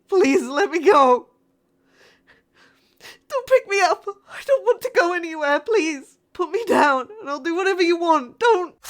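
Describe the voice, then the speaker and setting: A woman playing a frightened, pleading yet defiant spaceship crew member in a horror sci-fi audio drama, with halting, emotional delivery. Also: high-pitched. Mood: terrified pleading.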